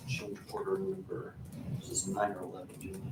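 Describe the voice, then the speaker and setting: A man's voice: sits low, monotone, fairly quick. A man making formal motions as a member of a town council. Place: indoor council meeting room. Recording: echoey room, clear background noise.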